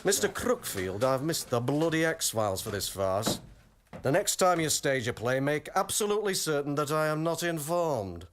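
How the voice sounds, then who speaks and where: An actor in a comic radio play playing an exasperated police inspector who barks orders with officious, deadpan sarcasm. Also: male voice, on the high side, moderate pace, slight background noise. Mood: weary, grumbling.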